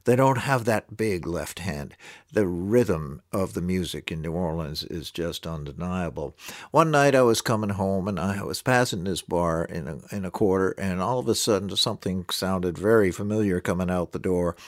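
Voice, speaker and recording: A man hosting a music radio show, talking in a relaxed, reflective way and telling a short anecdote. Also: low voice, no echo, clean studio sound. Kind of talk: casual radio talk and anecdote.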